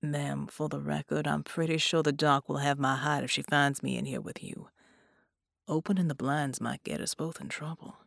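Woman voicing a hushed, gruff, guilt-ridden superhero man. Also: steady tone, normal speed, no echo, clean studio sound. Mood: guarded. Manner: low, hushed, formal and courteous. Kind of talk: audiobook character dialogue.

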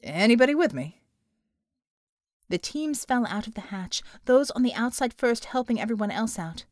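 Higher-voiced woman narrator, dry sound. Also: expressive, moderate pace, darkish tone, no room sound. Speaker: audiobook narrator. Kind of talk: scripted dramatic story narration.

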